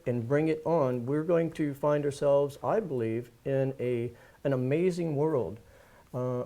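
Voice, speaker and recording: A man speaking as a studio guest in an earnest, measured, reflective conversational way. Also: mid-range voice, mellow. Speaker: show guest. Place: live studio.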